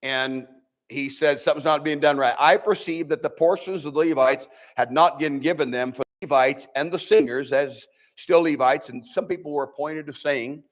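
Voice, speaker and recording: An older male pastor from the Midwest preaches in a church with a nasal, fairly high voice. His delivery is folksy and animated, and he restarts phrases often as he works through the scripture. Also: moderate pace, poor audio. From American Midwest. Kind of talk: sermon.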